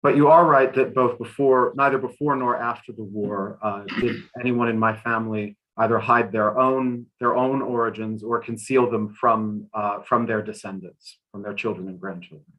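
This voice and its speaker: A man working as translator and interpreter at a live talk, speaking haltingly with repeated words. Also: on the low side, steady tone, fairly bright tone, low-quality recording.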